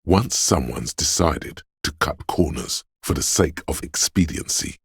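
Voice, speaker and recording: An extremely deep, husky inner voice narrates a video game with grave compassion. The delivery is measured, solemn and knowing, recorded close and dry. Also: male voice, expressive, no room sound, exaggerated voice. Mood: measured, admonishing.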